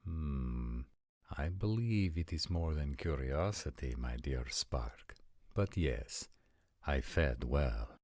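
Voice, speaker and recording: A man playing a courtly, measured male vampire prince in a fantasy audio roleplay, speaking formally and deliberately with quiet intimacy. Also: a bass voice, lively intonation, dry recording, studio quality, heavily acted. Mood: patient, intrigued.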